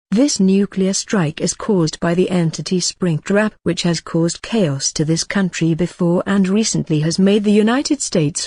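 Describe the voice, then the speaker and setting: A synthetic woman's voice reading an emergency broadcast and a long list of countries in a flat way. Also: on the low side, slightly rough, dry recording.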